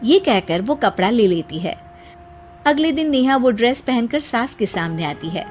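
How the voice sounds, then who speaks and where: A woman reading scripted narration as the storyteller of an animated moral tale. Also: fairly high pitch, moderate pace, faint music behind.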